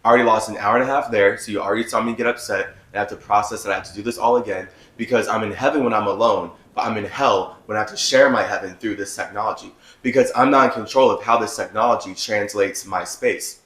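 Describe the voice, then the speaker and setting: A man with a raspy, strained voice that is giving out, delivering a heated, ranting monologue as a vlogger. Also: fairly low pitch, fairly even intonation, fast talker, hardly any pauses, a bit bright, roomy sound. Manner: heated, ranting, with run-on sentences. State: losing his voice. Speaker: vlogger host. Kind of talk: agitated monologue to camera. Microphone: phone.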